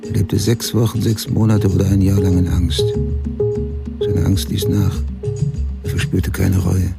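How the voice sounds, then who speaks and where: Grave crime-writer narrator, low gravelly voice, terse sentences, radio drama. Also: male voice, level tone, measured pace, heavily acted. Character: grave, hard-boiled adult crime writer narrating his investigation. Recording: loud music behind the voice.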